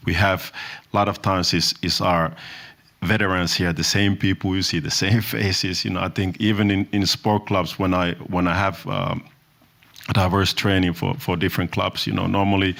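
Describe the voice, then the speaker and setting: A man with a very deep, gravelly voice on a seminar panel. He talks casually, leaning on fillers as he goes. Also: a bit bright. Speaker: diversity trainer and panelist. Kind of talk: panel discussion.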